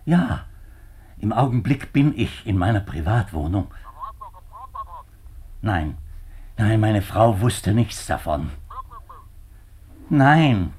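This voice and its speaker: Anxious, pleading minister in a 1950s radio play; deep, slow-paced, formal and halting. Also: male voice, darkish tone, slight background noise. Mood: agitated, pleading. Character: distraught male government minister, middle-aged, anxious and pleading. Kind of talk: 1950s German radio drama acting.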